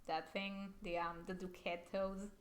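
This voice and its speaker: A young woman with an accent co-hosting a casual livestream, blunt and quick to swear, laughing often. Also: medium pitch, little pitch movement. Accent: non-native English. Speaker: animator and stream co-host.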